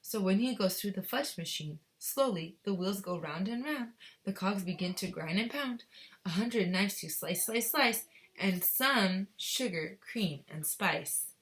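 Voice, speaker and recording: Woman as tiny storybook workers, rhyming chant, half sung, rhythmic, deliberate, gleeful, moralizing. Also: medium pitch, lively intonation, fairly bright tone. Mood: gleeful warning.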